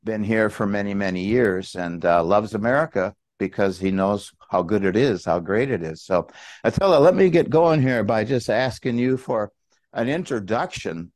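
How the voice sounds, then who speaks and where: A man hosts a podcast with a fairly low voice. He is informal and chatty, addressing listeners and guest directly. The sound is dry, with very little room in it. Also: expressive, normal speed, darkish tone, no echo. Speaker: podcast host and business coach. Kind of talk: casual podcast introduction and interviewing.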